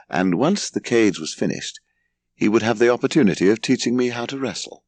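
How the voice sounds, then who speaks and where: A man with an English accent reading an audiobook as expressive scripted narration. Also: low-pitched, creaky, no room sound.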